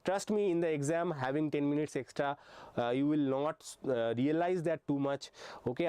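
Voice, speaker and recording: A man's voice: mid-range voice, warm tone, no room sound. A young man teaching an online physics exam-prep lesson. He explains step by step, often hesitates with "uh" and checks in with "okay". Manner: frequent hesitations with uh and um.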